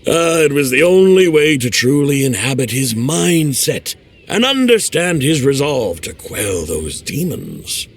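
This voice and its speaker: A man's voice: medium pitch, very animated, unhurried, husky, exaggerated voice. An actor playing a boastful, theatrical male stage performer in a video game, with a grandiose, self-amused way of speaking. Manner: grandiose, hammy, laughs at himself.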